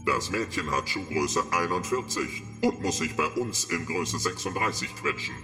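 A man's voice: low voice, expressive, moderate pace. A man playing a sly, servile, scheming henchman to a villain boss in a children's radio play. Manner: scheming, obsequious. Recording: long hall reverb, music underneath.

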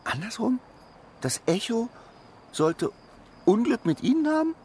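An actor playing a tiny, polite alien gentleman in a children's radio play, formal, precise and very earnest. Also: very high-pitched, very animated, a little slow, a little hiss or hum, full character voice. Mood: insistent.

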